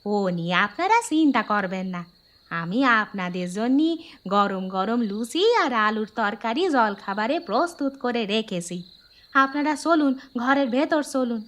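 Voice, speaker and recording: Actress doing polite caretaker lady in a horror cartoon, highish voice, dutiful and very deferential. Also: animated, normal speed, fairly bright tone. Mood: welcoming, polite. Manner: deferential, attentive. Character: dutiful, polite female caretaker of a remote bungalow. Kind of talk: animated horror cartoon dialogue.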